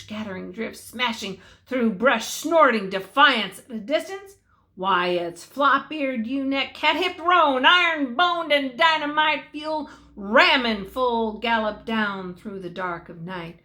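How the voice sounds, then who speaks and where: An older woman giving an expressive, dramatic reading of a folksy Christmas story, savouring repeated phrases. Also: medium pitch.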